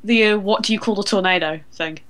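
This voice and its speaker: A woman's voice: medium pitch, a little fast, fairly bright tone. A woman with a mid-range voice co-hosts a podcast. She chats informally and explains details with the eagerness of a fan. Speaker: podcast co-host. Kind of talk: casual chat.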